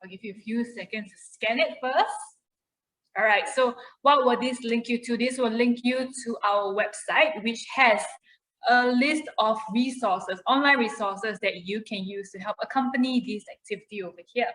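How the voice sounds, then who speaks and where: A woman's voice: a little high, moderate pace, fairly bright tone. A woman hosting a children's puppet show, encouraging and explaining activities step by step. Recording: roomy, echoey sound.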